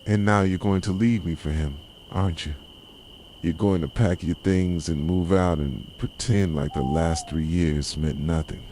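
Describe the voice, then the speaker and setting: A man playing a possessive, jilted boyfriend in an ASMR roleplay, speaking softly with bitter, deliberate intensity. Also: steady tone. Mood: wounded, accusing. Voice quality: soft, low voice. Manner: intense, deliberate, reproachful dramatic delivery. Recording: clearly noisy, night insects and owl hoots.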